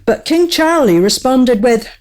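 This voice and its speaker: A woman's voice: high voice, lively intonation, a little slow, a little mellow. A woman playing a small, witty court jester in a radio play, speaking in a wry, mock-courtly way. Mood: wry, amused.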